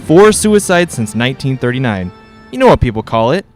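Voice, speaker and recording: A man's voice: mid-range voice, very animated, a little fast, music in the background, lightly acted. A man playing a folksy, eager real estate agent with persuasive patter in a 1940s-style radio drama. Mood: breezy, persuasive.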